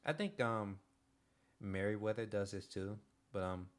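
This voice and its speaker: A young man streaming, chatting casually in a soft-spoken, hesitant way with many pauses and restarts. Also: on the low side. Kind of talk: casual livestream chat.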